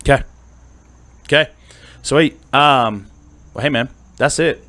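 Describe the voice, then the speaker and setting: A man's voice: medium pitch, slow pace, pushed and bright, no room sound. A man from central Pennsylvania hosting a podcast, upbeat and friendly, chatting casually with lots of fillers. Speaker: podcast host. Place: studio.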